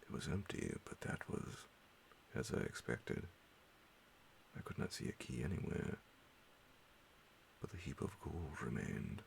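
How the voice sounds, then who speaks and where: Very deep, gravelly hushed male narrator. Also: very animated, slight background noise. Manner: hushed, half-whispered, dramatic pauses. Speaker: audiobook narrator. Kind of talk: ASMR bedtime horror story reading.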